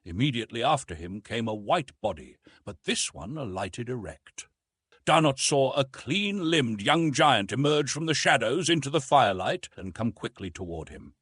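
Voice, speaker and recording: A man's voice: mid-range voice, big pitch swings, normal speed, a bit bright, slightly rough, no echo, studio quality. A man narrating an adventure novel as an audiobook in a theatrical, suspenseful storytelling style.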